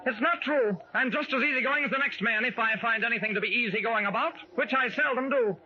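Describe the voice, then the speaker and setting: An actor playing a sour, grumbling insurance investigator in an old radio drama. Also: male voice, very high-pitched, fast, sharp tone, dull audio, exaggerated voice. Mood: grouchy. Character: crotchety lean insurance investigator dressed as Santa. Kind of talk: 1940s-50s radio acting. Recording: band-limited old radio recording, faint noise.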